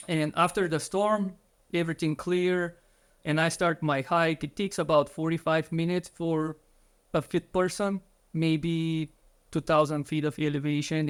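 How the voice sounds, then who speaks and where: A man's voice: fairly high pitch, smooth. A man from Bulgaria, in his thirties, earnestly telling his story as an interview guest, with slightly phrasing.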